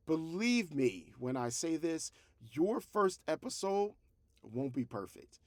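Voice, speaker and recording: A man's voice: medium pitch, darkish tone, dry recording. A man talking casually as a creator and host, giving encouraging, motivational advice and repeating phrases for emphasis. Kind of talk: casual advice talk to camera.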